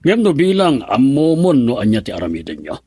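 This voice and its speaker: A man's voice: mid-range voice, huge pitch swings, a little fast, dark tone, breathy edge, no room sound, clean studio sound. A man playing a suspicious, controlling middle-aged father in a radio drama, with a Filipino accent. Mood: wary, businesslike. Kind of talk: Ilocano radio drama acting.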